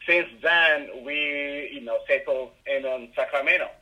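A middle-aged man from Ethiopia with an Ethiopian accent, answering interview questions haltingly with many fillers and restarts. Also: a little high, pushed and bright, low-quality recording. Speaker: guest, rideshare driver.

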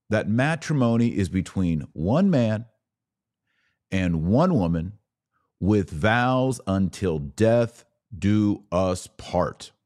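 Deep-voiced American man, emphatic commentator. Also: a little mellow. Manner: emphatic, deliberate, repeating words for stress. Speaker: podcast host and political commentator. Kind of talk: opinion monologue to camera.

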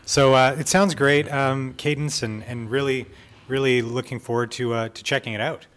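Guy, average pitch, casual podcast host asking questions, pretty agreeable. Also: fairly even intonation, normal speed, a bit bright. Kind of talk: casual chat, interviewing.

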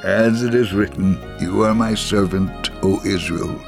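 A man reading a scripted first-person testimony as an elderly, gentle, devout Holocaust survivor, in a measured, earnest and deliberate manner. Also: low-pitched, vocal fry, music in the background. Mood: earnest, encouraging.

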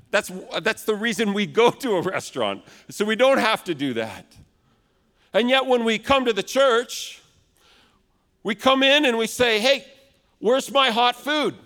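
A man in his thirties preaching a sermon in a loud, big voice, now and then acting out complaining customers. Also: extremely high pitch. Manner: acts out complaining customers in raised voice. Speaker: pastor. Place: large church hall. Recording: long hall reverb.

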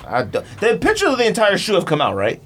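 A man's voice: sits high, wildly swooping pitch, fast, a loud crowd. A man chatting casually as a podcast host, playfully arguing in slangy speech. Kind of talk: casual banter.

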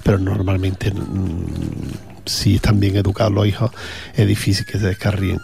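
A man's voice: low-pitched, little pitch movement, normal speed, gravelly, a little mellow. A man with an Andalusian accent, chatting casually as a radio host between songs, rambling with fillers. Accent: Andalusian Spanish. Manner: rambling, chatty, with frequent fillers and repeated words.

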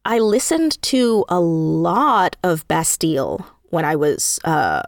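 Low-pitched woman chatting, close dry sound. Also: big pitch swings, takes it slowly, creaky, dry recording. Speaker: interview guest, audio drama writer. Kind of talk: casual interview chat.

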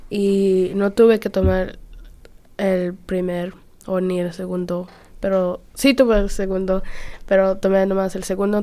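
A teenage student girl talks in a relaxed group chat. Her voice sits at an ordinary middle pitch. She keeps the conversation moving, often turning to ask the others what they think. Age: teens. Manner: chatty, leads the talk, asks others questions. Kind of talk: casual group discussion.

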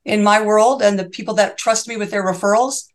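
A woman's voice: mid-range voice, steady tone, fairly quick. This is an older woman in her sixties speaking as a specialist guest on an interview show. Her voice sits in a middle register. She talks in earnest, heartfelt bursts, piles clause upon clause and often restarts a thought partway through. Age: 60s. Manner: earnest and fervent, long run-on sentences with restarts. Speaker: reverse mortgage specialist, podcast guest. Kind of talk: interview conversation.

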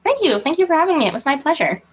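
A woman's voice: high voice, very animated, rapid-fire. A woman chatting casually as a guest author in an interview, informal and self-deprecating in manner.

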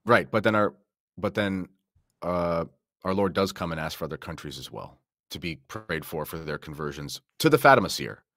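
Deep-voiced man in a podcast discussion. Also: animated, moderate pace, fairly bright tone, dry recording, studio quality. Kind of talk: conversational religious discussion.

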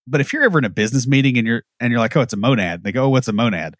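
A man's voice: medium pitch, lively intonation, racing, fairly bright tone, no echo, clean studio sound. A man co-hosting a podcast, giving blunt, wry opinions and anecdotes. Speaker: podcast co-host. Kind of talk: casual opinionated chat.

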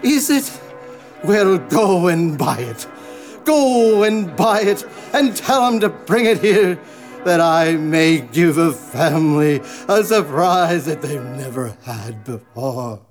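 An actor playing a joyful, repentant old miser in a radio drama, exclaiming and stammering with excitement. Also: male voice, fairly high pitch, slow, faint music behind. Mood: giddy elation. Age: older.

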